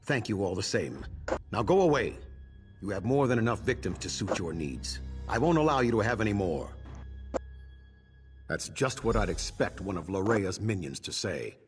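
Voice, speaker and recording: A man's voice: fairly low pitch, sing-song, normal speed. An actor playing a stern, weary swordsman who protects survivors, speaking formally and resolutely in a fantasy video game. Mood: defiant, guarded. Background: background music.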